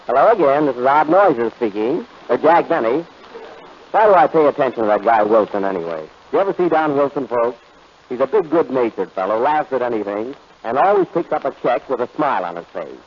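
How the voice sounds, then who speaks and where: A man's voice: mid-range voice, animated, a little fast, slight background noise. A man hosting a 1930s radio comedy show with a dry, wisecracking delivery. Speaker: comedian host. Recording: dull lo-fi old radio recording.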